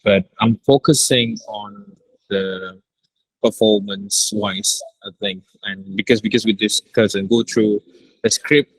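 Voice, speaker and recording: A man with a fairly low voice talks as a director in an interview. His delivery is casual and unscripted, and the recording is close and dry. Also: fairly even intonation, unhurried, dry recording, poor audio.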